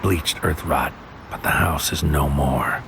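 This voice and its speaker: An actor playing a brooding, desperate psychic soldier who narrates his mission in a terse, grim, hushed audio-drama monologue. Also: extremely low pitch, slow, creaky, background noise, exaggerated voice. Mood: grim, intense. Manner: terse, grim, intense, clipped poetic phrasing. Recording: loud music behind voice. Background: loud music.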